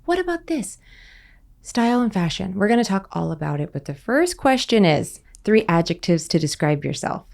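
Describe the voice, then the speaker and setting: A woman's voice: medium pitch, very animated, moderate pace, creaky, a little mellow. A woman hosting an interview podcast, asking eager questions in a friendly, conversational way. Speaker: podcast host.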